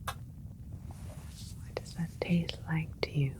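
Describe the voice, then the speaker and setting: A woman playing a calm, clinical alien scientist in a sci-fi ASMR roleplay, speaking in a soft, breathy whisper with a gentle, methodical manner. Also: deep voice, extremely slow. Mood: attentive, clinical. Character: calm, clinical alien scientist doctor examining their creation.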